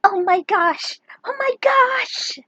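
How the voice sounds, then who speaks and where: A woman's voice: very high-pitched, takes it slowly, bright, forceful tone, no room sound, highs cut off, voice pushed up, exaggerated voice. A woman voicing an emotional adoptive mother who fusses over and scolds her boy in an exclaiming way. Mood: tearful relief.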